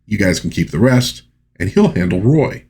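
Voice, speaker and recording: A man's voice: on the low side, sing-song, moderate pace, a little mellow, slightly rough. A man hosting a movie review, recapping and commenting in a conversational, informal and folksy way. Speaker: film review host.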